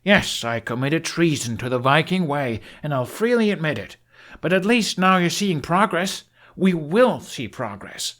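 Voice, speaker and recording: A man's voice: fairly high pitch, big pitch swings, unhurried, fairly bright tone, voice pushed up. A man voicing a defiant, sarcastic young Viking man in an audiobook, with a snappy manner. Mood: sarcastic, exasperated. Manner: sneering, snappy, disdainful.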